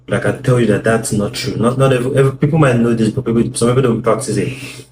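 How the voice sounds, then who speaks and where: A man's voice: medium pitch, fast talker, darkish tone. A man talking earnestly with his wife about communication in marriage, often repeating words as he explains. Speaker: co-host, husband. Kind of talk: casual talk on marriage advice. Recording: echoey room with background noise.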